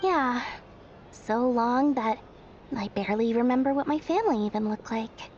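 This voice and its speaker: Female actor as brash young businesswoman for a game: high-pitched, theatrical, blustering, slight noise on a dulled capture. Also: darkish tone, lo-fi sound, natural voice. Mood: wistful. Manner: theatrical, sassy, quick to bluster. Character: brash money-loving young female tycoon, secretly kind-hearted. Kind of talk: video game voice acting.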